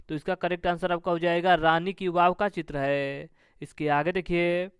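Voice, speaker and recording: Male coaching instructor, very clean smooth voice, close dry sound, formulaic question-then-answer delivery. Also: a little high, no room sound. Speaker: exam coaching teacher.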